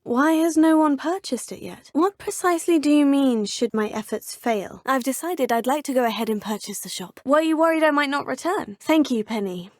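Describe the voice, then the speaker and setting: An actress voicing a polite, curious young female witch student in a video game, speaking in a crisp, well-spoken way. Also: high voice, normal speed, hardly any pauses, dry recording, clean studio sound, natural voice. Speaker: player character. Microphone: close studio mic.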